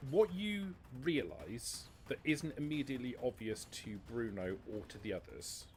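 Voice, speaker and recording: A man working as a game master, narrating a tabletop roleplaying game in a descriptive, dramatic way with deliberate pauses. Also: medium pitch, sing-song, a little mellow. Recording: noticeable background noise.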